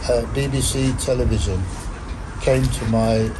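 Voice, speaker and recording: An older man from Nigeria, talking slowly and deliberately as an interview guest in a studio. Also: medium pitch, fairly even intonation, background noise. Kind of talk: conversational interview.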